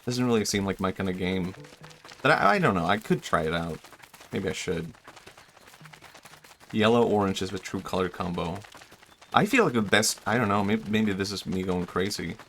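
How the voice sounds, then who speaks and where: A young man streams a game and muses aloud in a low voice, rambling from thought to thought with lots of fillers and restarts. A faint hiss of room noise sits under his talk. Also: normal speed, pauses often, a bit bright. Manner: rambling and self-reflective, trails off, many fillers and restarts. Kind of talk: casual chat while gaming.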